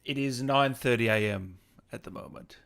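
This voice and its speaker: A man with a medium-pitched voice hosting an online interview. He sounds informal and conversational, moving between reading messages aloud and casual chat. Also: moderate pace, a bit bright, clear, resonant tone. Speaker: interview host. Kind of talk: casual livestream interview, reading viewer questions.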